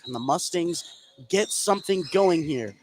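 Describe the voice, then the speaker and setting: An American man calls a basketball game live for radio. His voice sits a little high, and he follows the play in short, excitable phrases. The live broadcast has clear background noise from the gym. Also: unhurried. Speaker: play-by-play commentator. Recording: noisy live sports broadcast.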